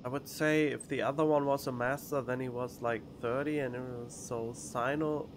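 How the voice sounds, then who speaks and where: A man's voice: mid-range voice, steady tone, moderate pace, background noise. A man giving casual let's play commentary as a game streamer, musing and thinking aloud into a close mic. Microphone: close studio condenser microphone. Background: faint game music.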